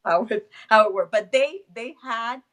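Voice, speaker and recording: A woman's voice: on the high side, normal speed, fairly bright tone. A woman whose first language is Spanish, with a Spanish accent, talking casually as an interview guest, laughing often and restarting phrases as she searches for English words.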